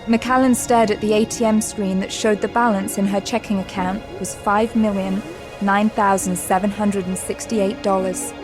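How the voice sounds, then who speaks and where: A woman narrator reading dramatic scripted story narration for an audio drama. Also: medium pitch.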